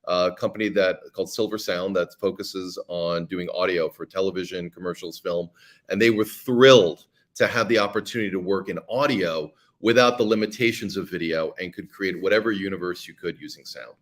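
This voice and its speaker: A man's voice: sits low, fairly quick. A man, a podcast guest, talking in a casual interview with many fillers and restarts.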